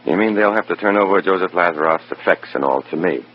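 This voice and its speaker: An actor playing a wry, bitter undercover FBI informant, sardonic and hard-boiled, in an old radio drama. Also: male voice, deep voice, quick pace, slight background noise, lightly acted. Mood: resentful. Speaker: narrator and protagonist. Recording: dull, muffled old radio recording.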